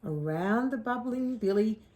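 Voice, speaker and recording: A woman reading a picture book to young children, pausing to ask the listeners questions. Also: fairly high pitch, animated, darkish tone. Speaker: storyteller.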